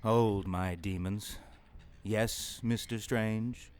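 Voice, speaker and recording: A man's voice: fairly low pitch, steady tone, drawn-out words, clean tone. A man playing a pompous, menacing demon villain in an audio drama, gloating in a theatrical way. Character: gloating male demon villain posing as human lover.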